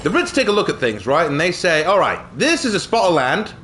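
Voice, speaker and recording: A man's voice: on the high side, sing-song, brisk, a bit bright, a little hiss or hum. A young man with a British accent streaming a game live, joking theatrically and cursing in British slang. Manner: theatrical, jokey, lots of British slang and swearing. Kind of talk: live gameplay commentary.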